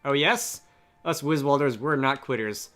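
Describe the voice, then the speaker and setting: A man's voice: mid-range voice, measured pace, fairly bright tone, close to an everyday voice. A man voicing an easygoing, folksy scholar adventurer from a video game, frank and colloquial. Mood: frank, hopeful.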